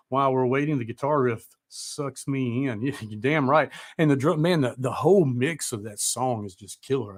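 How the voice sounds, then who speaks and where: A middle-aged man hosting a live music show in casual, rambling, mildly profane interview chat. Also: mid-range voice.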